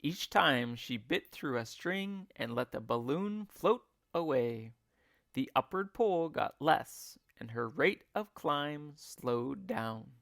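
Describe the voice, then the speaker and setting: A man reading a children's story aloud as a teacher would, with deliberate, theatrical expression. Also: a little high, lively intonation, creaky, a bit bright, no room sound, studio quality.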